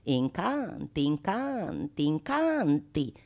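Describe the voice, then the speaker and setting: A woman acting a sly conjurer in a radio drama, with a hushed, insinuating, drawn-out theatrical delivery. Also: sits low, sing-song, creaky, exaggerated voice. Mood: sly, coaxing. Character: sly conjurer peddling incantations for coins. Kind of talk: stage monologue radio drama.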